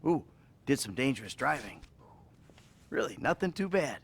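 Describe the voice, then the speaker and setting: A middle-aged American criminal in a game cutscene. He is wry, halting and foul-mouthed, swinging widely in pitch. There is faint noise behind him. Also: big pitch swings, gravelly. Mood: evasive, wry. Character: troubled middle-aged male criminal, evasive, foul-mouthed, self-loathing. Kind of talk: video game cutscene acting.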